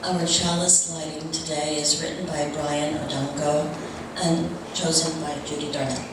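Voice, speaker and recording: A woman's voice: low voice, level tone, unhurried. A woman speaking to a congregation at a church service, giving announcements and readings. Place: large reverberant room at a church service. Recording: very echoey, noisy room, distant mic.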